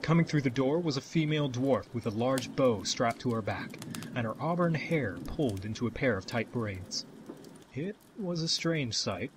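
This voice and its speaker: A man's voice: mid-range voice, animated, moderate pace, noisy background. A man delivering scripted fantasy narration as the storyteller of an audio tale. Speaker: narrator, game-style storyteller.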